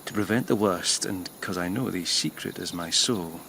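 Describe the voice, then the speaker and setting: A man's voice: sits low, moderate pace, pushed and bright, full character voice. A man acting a cold-blooded, scheming young nobleman in a classical verse radio drama, commanding and conspiratorial. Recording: clear ambient noise, chirping insects.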